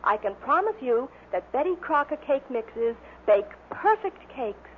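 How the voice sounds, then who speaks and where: An American woman announces a mid-century radio ad. Her voice is a little high. The sound is very thin with light background noise. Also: sing-song, unhurried. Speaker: commercial announcer. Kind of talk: 1950s radio advertisement. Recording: tinny vintage radio, faint noise.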